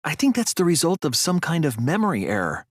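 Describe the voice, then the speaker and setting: Casting: a thoughtful teen boy sleuth for a game dub; earnest, deliberate, slightly breathy, very dry close sound. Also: a little high, huge pitch swings, no echo. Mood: reasoning, earnest. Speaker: video game voice actor. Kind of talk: English video game dub, dramatic deduction dialogue.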